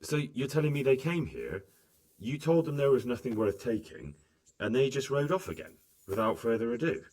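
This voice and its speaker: An actor playing an earnest young squire in a video game, questioning people and pressing them for the truth. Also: male voice, medium pitch, very animated, moderate pace, darkish tone, lightly acted, poor audio. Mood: probing. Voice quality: probing, insistent. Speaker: protagonist questioning witnesses. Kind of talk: video game cutscene acting.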